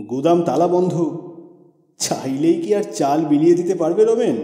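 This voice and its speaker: A man's voice: fairly high pitch, dark tone. A man reading a short story aloud as dramatic scripted narration. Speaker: audio story narrator and reader. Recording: echoey reverberant room with music bed. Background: background music.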